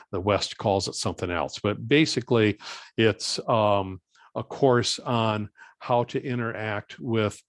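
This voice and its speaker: A man speaking as a guest presenter, answering questions informally in a plain-spoken, halting, step-by-step way. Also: fairly low pitch, no echo, studio quality. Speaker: guest presenter and volunteer coordinator.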